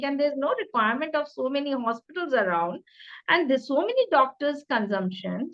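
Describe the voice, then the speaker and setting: High-voiced Indian-accented woman. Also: highs cut off. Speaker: interview guest, career changer. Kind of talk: conversational career interview.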